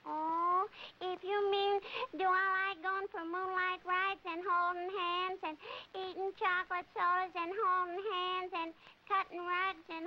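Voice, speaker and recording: An actress playing a giggly, boy-crazy young girl in a 1940s film comedy, coy and gushing. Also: extremely high pitch. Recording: muffled, hissy 1940s film soundtrack.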